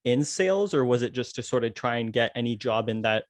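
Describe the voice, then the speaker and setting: A man's voice: medium pitch, lively intonation, brisk, no echo. A man hosting a business podcast, asking long rambling questions with repeated words and fillers. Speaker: podcast host.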